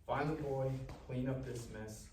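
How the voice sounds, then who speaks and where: A man's voice: medium pitch, fairly even intonation, a little slow, natural voice. Man playing stern small-town mayor, echoey room. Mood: stern, exasperated. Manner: firm, admonishing. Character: stern, fed-up small-town mayor confronting his sheriff. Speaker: actor at a live table read. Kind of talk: live table read screenplay acting. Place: live festival table read in a room. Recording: echoey, distant room mic with faint noise.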